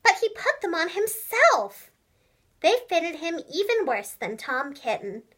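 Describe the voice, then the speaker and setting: A woman telling a children's storybook tale expressively and clearly, as if to young children. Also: extremely high pitch, big pitch swings, a little slow, fairly bright tone. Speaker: storyteller, preschool teacher and voice actress. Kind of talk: animated children's storybook narration.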